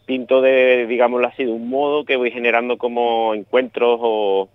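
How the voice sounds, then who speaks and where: A man's voice: medium pitch, level tone, normal speed, clean tone, dry recording, phone-quality sound. A man from El Puerto de Santa María with an Andalusian accent, a painter chatting loosely in a radio interview, with many restarts and fillers. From El Puerto de Santa María, Spain.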